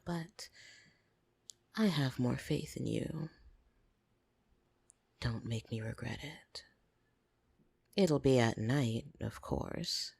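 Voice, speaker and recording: A woman playing a possessive, teasing vampire in an intimate ASMR roleplay, breathy and drawling, slipping into whispers. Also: a bass voice, a little mellow, no room sound, heavily acted. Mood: playful, possessive. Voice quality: husky, creaky. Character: possessive, teasing female vampire doting on her human pet. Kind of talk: ASMR roleplay, scripted monologue to the listener. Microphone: close, dry microphone.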